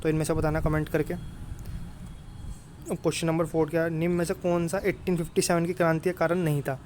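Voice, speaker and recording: A man's voice: on the high side, flat delivery, a little fast, a little mellow. A man giving informal exam coaching, often checking in with listeners in a familiar, brotherly way. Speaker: exam prep teacher. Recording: clear background noise.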